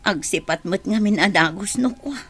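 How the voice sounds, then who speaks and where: A woman's voice: mid-range voice, normal speed, fairly bright tone, husky. A woman playing a troubled young daughter in an Ilocano radio drama, with an Ilocano accent. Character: worried young daughter, resentful then repentant.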